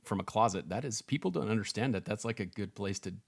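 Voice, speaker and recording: A man hosting a podcast, asking questions in a relaxed, conversational way. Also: on the low side, fast talker, gravelly, a crowd in the background. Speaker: podcast host. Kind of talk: casual interview.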